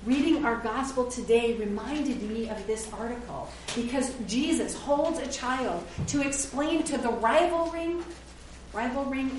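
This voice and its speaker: A middle-aged woman pastor delivers an earnest, conversational sermon with a slightly high voice, now and then stopping to fix a word. She is picked up from some distance away in a church with heavy, ringing echo. A faint hiss sits under her voice. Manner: earnest, conversational preaching with self-corrections. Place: church sanctuary. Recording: roomy echo, heard from a distance.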